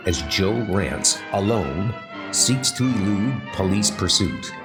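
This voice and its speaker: Male horror comic narrator, dramatic, lowish and deliberate, with music bed. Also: animated.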